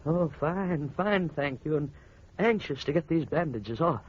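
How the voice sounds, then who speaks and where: An actor playing an aging blind husband, devoted yet superstitious and suspicious, in a theatrical, emphatic vintage radio drama style. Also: male voice, a little high, expressive, measured pace, slightly rough. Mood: restless, polite. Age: older. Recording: muffled, noisy old radio recording.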